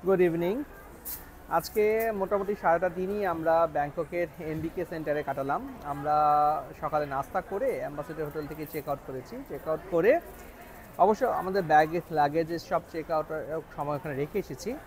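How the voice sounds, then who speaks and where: A man's voice: fairly high pitch, normal speed, long pauses, slightly rough. A man giving a travel vlog update on location. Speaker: travel vlogger. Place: on location in Bangkok.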